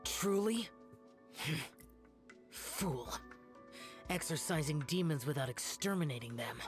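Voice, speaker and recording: A stern aloof guardian. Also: male voice, on the high side, lively intonation, slow pace. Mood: cold. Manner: formal, curt, solemn. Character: stern, aloof young male guardian spirit. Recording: game audio under background music.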